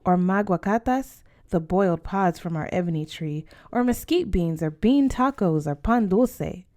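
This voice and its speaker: A woman's voice: medium pitch, a little mellow. A woman reading a children's novel aloud as scripted narration. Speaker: audiobook reader.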